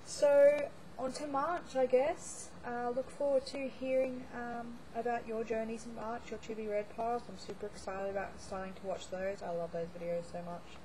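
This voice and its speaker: Woman vlogging about books, voice going a bit hoarse, rambly and chatty, hiss in the background. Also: a little high, flat delivery, normal speed. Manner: chatty, rambling, lots of fillers and restarts. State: starting to lose her voice. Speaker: book blogger. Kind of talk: casual monthly reading wrap-up vlog.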